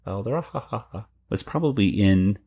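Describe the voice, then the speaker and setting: A man's voice: lowish voice, animated, normal speed, warm tone, slightly rough, lo-fi sound. A man co-hosting a podcast about a cartoon, talking casually and rambling a bit with restarts and laughs. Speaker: podcast co-host. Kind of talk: casual chat.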